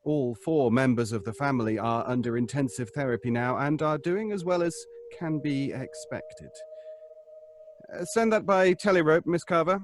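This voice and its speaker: A man playing a measured doctor in an audio drama. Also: medium pitch, expressive, slight background noise, close to an everyday voice. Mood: clinical. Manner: measured, clinical. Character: Doctor McLean, measured psychologist.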